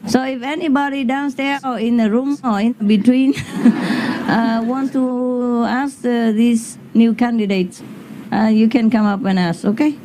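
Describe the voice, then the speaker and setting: A woman's voice: fairly high pitch, fairly even intonation, a little slow, noisy background. A woman leading an informal question-and-answer gathering, who speaks in a chatty, teasing way with short questions. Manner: chatty, teasing, laughs often, brief questions. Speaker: spiritual teacher leading a gathering. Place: large indoor meeting hall with an audience.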